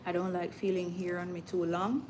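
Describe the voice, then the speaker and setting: A woman's voice: lowish voice, little pitch movement, moderate pace. A woman with a Jamaican accent hosting a casual livestream, chatting informally with viewers and drifting into Patois. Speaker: livestream host. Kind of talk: casual live chat with viewers. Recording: clear background noise.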